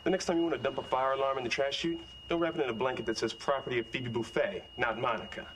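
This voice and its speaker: A man playing a brisk, matter-of-fact fireman in a sitcom scene, official and unimpressed. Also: medium pitch, steady tone, background noise, close to an everyday voice. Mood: dry, scolding. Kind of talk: sitcom fandub acting.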